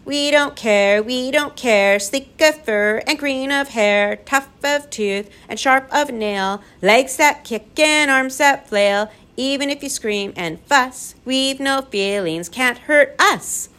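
A woman's voice: a little high, very animated, takes it slowly, a bit bright, voice pushed up, heavily acted. A woman voicing a spiteful, rowdy furry swamp creature in a storybook, taunting in sing-song chants and shrieks. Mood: defiant chanting.

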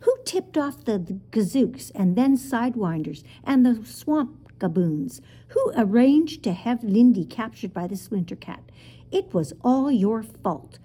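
A fierce, emotional young boy in a children's tale, played by a woman reading aloud. He speaks with indignant intensity, his mellow voice rising and falling widely. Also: mid-range voice, animated, normal speed, hardly any pauses, slightly rough. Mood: angry. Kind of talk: children's storybook character reading.

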